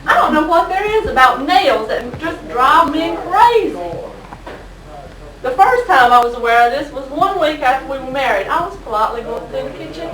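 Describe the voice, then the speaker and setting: Woman playing an exasperated, high-voiced wife, echoey stage. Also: lively intonation, normal speed, fairly bright tone. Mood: wry. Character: exasperated, sarcastic wife griping comically about her husband. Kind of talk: comic stage sketch. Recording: echoey hall from far, audience.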